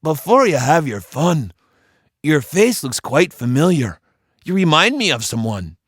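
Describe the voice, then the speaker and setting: A man's voice: a little high, very animated, measured pace, breathy edge, no room sound, clean studio sound, pitched above the performer's own voice, full character voice. A man playing a blustering pirate captain who is also a whiny little brother, in a storybook. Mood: pleading. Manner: loud exclamatory blustering.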